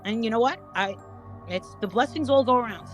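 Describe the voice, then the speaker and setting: A woman chatting casually as a panel guest, rambling and haltingly repeating her words as she goes. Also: a little high, expressive, soft background music. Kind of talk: casual gossip chat.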